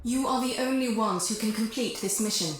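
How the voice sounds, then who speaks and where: An actress playing an earnest, formal mission operator who gives measured military briefings in a video game. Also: female voice, a little high, slight background noise, natural voice. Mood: grave, firm. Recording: very echoey room, loud music behind.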